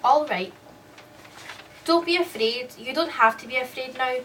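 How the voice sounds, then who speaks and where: A woman playing a composed, quietly sinister and teasing woman in a radio drama. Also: sits high, unhurried, distant mic, a little hiss or hum, rough recording. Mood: cold, menacing. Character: sinister dream-double woman, coolly taunting, falsely sweet.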